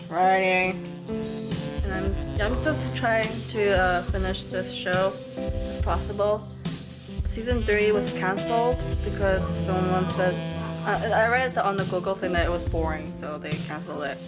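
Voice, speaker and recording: A woman's voice: medium pitch, fairly even intonation, a little slow, loud music behind the voice. A woman chatting casually to her camera at home, rambling with lots of "like" fillers. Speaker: vlogger. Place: at home indoors.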